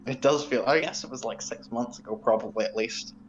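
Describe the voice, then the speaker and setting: A man's voice: mid-range voice, fairly quick, dull audio, slight background noise. Laid-back young man in casual chat. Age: 20s. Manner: laid-back, brief replies.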